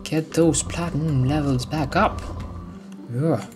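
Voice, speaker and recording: Dutch guy narrating his game, slow and umming a lot, game music loud behind him. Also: fairly high pitch, fairly even intonation. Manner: hesitant, lots of ums, soft chuckles. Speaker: gamer. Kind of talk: casual gameplay commentary. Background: video game music.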